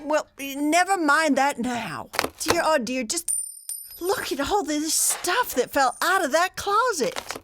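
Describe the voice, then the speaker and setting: Woman playing a high-pitched, fussy wife. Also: animated, slow, music in the background. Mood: fussy, bossy. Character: fussy, bossy, skeptical wife. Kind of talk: old-time radio comedy acting.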